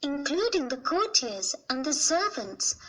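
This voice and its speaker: A woman reading a fairy tale aloud for children in a gentle, clear way. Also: high voice, very animated, a little slow, soft background music, low-quality recording.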